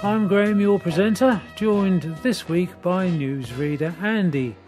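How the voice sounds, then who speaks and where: Guy hosting a local talking newspaper, slow and steady, a bit higher voice, swing music playing underneath. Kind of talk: scripted news magazine introduction.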